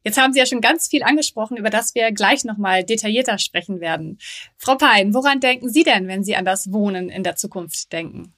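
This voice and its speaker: A woman's voice: medium pitch, brisk, fairly bright tone. A woman hosting a podcast interview, reading friendly, scripted introductions and asking questions. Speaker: podcast host.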